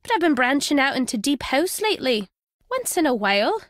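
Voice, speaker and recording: A woman's voice: sits high, very animated, brisk, no echo. An actress playing a wry, guarded older woman with a British accent, a former spy in a video game, dry and fond in manner. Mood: wry, reminiscing. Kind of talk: video game character dialogue.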